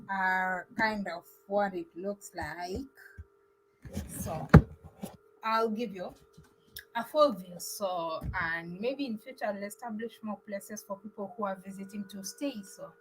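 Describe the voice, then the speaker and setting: Young Kenyan woman, travel vlogger, loose live-chat delivery, a little high, faint background hiss. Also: rough recording. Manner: chatty, loose, answers viewers as she goes. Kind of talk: casual live stream chat, reading comments.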